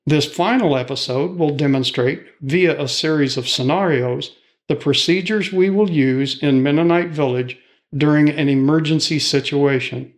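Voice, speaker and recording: A man's voice: on the high side, moderate pace. A man presenting scripted instructional material as a training host.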